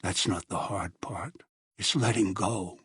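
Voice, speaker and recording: An actor voicing a bitter, obsessive old schemer in a video game, with a gravelly voice and an ominous, deliberate delivery. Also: male voice, low voice, fairly even intonation, fairly bright tone, dry recording, clean studio sound, exaggerated voice. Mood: ominous, brooding. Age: older. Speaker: video game villain and narrator. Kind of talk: dramatic video game voice acting.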